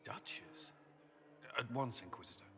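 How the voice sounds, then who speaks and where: A man's voice: mid-range voice, a little slow. A man playing a dutiful, urgent military commander in a video game, earnest and deferential. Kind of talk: dramatic video game acting. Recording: loud music behind the voice.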